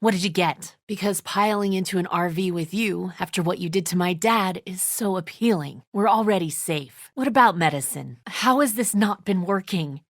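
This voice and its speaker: A woman's voice: fairly low pitch, normal speed, few pauses, no room sound, studio quality. A woman voicing a tense, suspicious and defensive leader of zombie apocalypse survivors in a video game, speaking bluntly with biting sarcasm. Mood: sarcastic, defiant.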